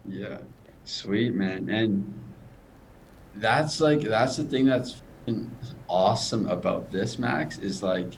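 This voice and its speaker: A man's voice: on the low side, slow, gravelly, slight background noise, low-quality recording. A young man who stutters, a coach talking casually and earnestly in a video call interview. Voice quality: stutters, repeats words.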